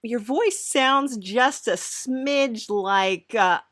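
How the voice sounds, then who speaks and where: A woman playing an eager, servile devil's apprentice hosting a comedy podcast, gushing, halting and apologetic. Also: on the high side, a bit bright. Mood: hesitant, polite. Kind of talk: improvised character comedy interview.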